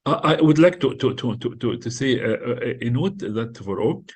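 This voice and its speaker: An older man with a French-influenced English accent gives a recorded lecture, halting often with fillers and repeated words. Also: mid-range voice, animated, slightly rough. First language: not English; French is his second language.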